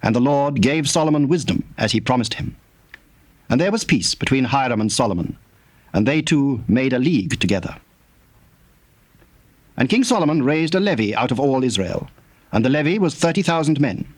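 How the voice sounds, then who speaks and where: A man narrating scripture from a script in a formal, measured manner. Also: medium pitch, animated.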